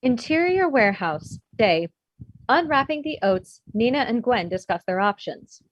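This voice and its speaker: A woman's voice: on the high side, big pitch swings, a little slow, dry recording. A woman reading stage directions as scripted narration at a comedy table read. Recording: dull, noisy home microphone with clicks.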